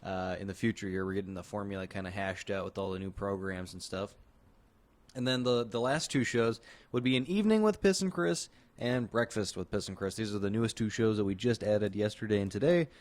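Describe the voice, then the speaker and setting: A man's voice: lowish voice, a little fast, a little mellow. A man co-hosting a stream show, chatty and hesitant with many fillers. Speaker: co-host. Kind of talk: casual live-stream talk.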